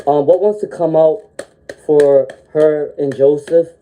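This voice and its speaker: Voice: mid-range voice, fairly even intonation, takes it slowly, phone-quality sound. A tarot reader doing a live-stream reading while fighting a cold, with frequent "um"s and a habit of tagging "okay?" onto sentences. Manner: ends sentences with a checking "okay?"; frequent "um" fillers, gentle reassuring delivery. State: has a cold, sniffling.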